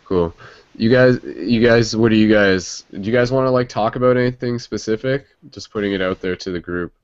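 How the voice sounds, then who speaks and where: A man's voice: lowish voice, brisk, dry recording. A man hosting a casual hangout chat, rambling with lots of fillers. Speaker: host. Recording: dry dull lo-fi audio.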